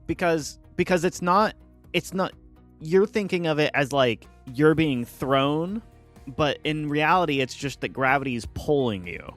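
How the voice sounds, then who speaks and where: Laid-back male player, mid pitch, explains the game with faint music behind. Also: unhurried, fairly bright tone. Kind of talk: casual tabletop game chat.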